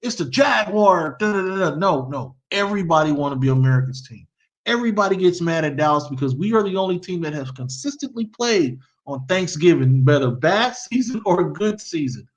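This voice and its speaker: A middle-aged man hosting a casual sports talk, his voice sitting high for a man. He tells long stories in an animated, storytelling way and quizzes his guest. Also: fairly quick. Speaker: podcast host.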